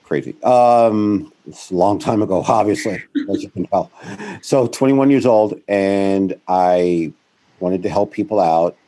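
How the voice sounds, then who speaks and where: A man's voice: sits low, a little slow. A middle-aged man, a guest in an interview, telling his career story in a rambling way, correcting himself as he goes. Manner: rambling, self-correcting, animated when quoting others. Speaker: guest, founder of a financial firm.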